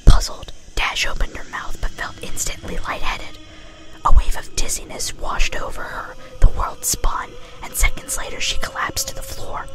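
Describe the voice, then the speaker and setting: A woman's voice: normal speed, poor audio. Female story reader, ASMR style, whispered delivery, music bed underneath. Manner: casually swears at own slips.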